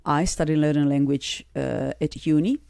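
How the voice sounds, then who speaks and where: A woman's voice: low-pitched, fairly even intonation, normal speed, creaky, dark tone, dry recording, clean studio sound. A middle-aged woman from Sardinia with an Italian accent, telling her life story as an interview guest. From Sardinia, Italy. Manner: storytelling, acts out quoted people. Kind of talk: casual interview chat.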